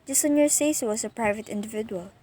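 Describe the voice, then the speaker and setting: A woman's voice: on the high side, fast, warm tone, close to an everyday voice. A woman acting an officious, unhelpful telephone operator in a radio play, formal and procedural. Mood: officious, doubtful.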